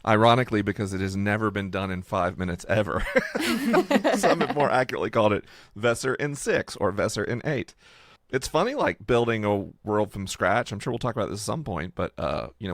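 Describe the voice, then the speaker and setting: A man co-hosting a podcast, explaining casually and off the cuff in a halting, digressive way. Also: fairly low pitch, no room sound, clean studio sound. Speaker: podcast co-host and world builder.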